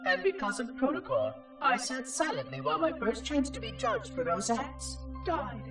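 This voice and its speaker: An actor playing a small floating machine caretaker who talks in a precise, formal and oddly cheerful way. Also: male voice, very high voice, very animated, moderate pace, far from the mic, music in the background. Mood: solemn. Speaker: monitor of an installation. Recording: game score playing behind.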